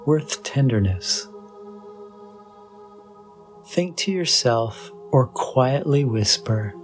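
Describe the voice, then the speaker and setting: A man leading a guided meditation in a soothing, measured way with long pauses, in a slow meditative style. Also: mid-range voice. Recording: voice over background music with singing bowl. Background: meditation music.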